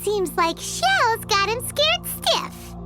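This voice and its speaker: A woman voicing a tiny, childlike fairy companion in a video game, squeaky, cheeky and excitable. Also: slow pace, over loud music, exaggerated voice. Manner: cheeky, excitable, refers to herself by name.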